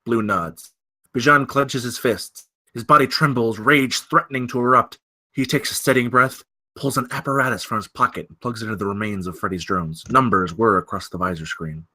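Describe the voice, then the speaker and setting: A man's voice: medium pitch, normal speed, pushed and bright. A man narrating screenplay stage directions in a dramatic, scripted style at a table read.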